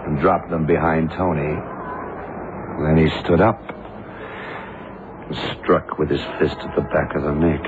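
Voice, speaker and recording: An actor playing a shrewd, commanding detective in a 1970s radio crime drama, speaking tersely and deliberately. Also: male voice, a bass voice. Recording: noisy, tinny old radio broadcast.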